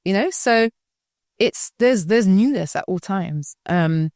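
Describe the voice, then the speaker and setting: A woman's voice: medium pitch, animated, slow pace, darkish tone, dry recording, studio quality. A woman from the UK with a British accent, answering interview questions in a reflective, conversational way and often checking that she is understood. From United Kingdom. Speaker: school founder, guest.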